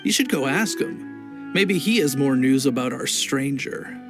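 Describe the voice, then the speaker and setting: A man's voice: mid-range voice, animated, measured pace. A fantasy game guard with a mid-range voice. He sounds casual and a little complacent, thinking aloud as he goes. Faint music sits behind him. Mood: casual, musing. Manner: casual, musing. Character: easygoing, casual male town guard, a bit complacent. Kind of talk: video game voice acting.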